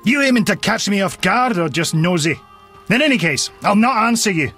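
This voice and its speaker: A man's voice: sits high, animated, normal speed, a bit bright, faint music behind. An actor playing a bitter dwarf townsman in a fantasy video game, with sarcastic, grumbling gallows humour. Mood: suspicious, scornful. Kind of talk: video game dialogue acting.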